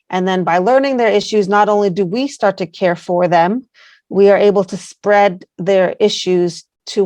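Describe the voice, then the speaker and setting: Gravelly American woman, earnest guest. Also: mid-range voice, a little mellow, dry recording. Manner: earnest, rambling with fillers. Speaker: guest, placemaking founder. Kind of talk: casual interview answers.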